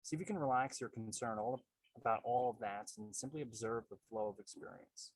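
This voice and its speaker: A man leading a guided meditation as coach and host, calm and measured, with a husky voice and unhurried pauses. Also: fairly low pitch. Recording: roomy, distant-sounding microphone.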